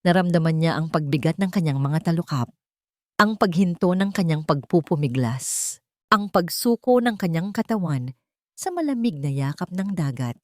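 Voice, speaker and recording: A woman narrates a scripted dramatic story in deliberate, suspenseful phrases. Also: lowish voice, no echo.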